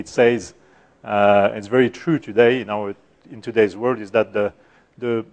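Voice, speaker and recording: Soft-voiced french guy giving a tech conference talk, middle-aged, lots of uhs and restarts, average pitch. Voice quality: soft-spoken. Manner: halting, many fillers and restarts. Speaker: conference speaker. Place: conference room.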